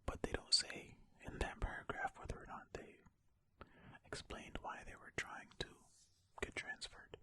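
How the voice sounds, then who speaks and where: An ASMR host reading a story in a soft, breathy, unvoiced whisper, close to the mic, with conversational, musing asides. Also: measured pace. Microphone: close mic, ear to ear.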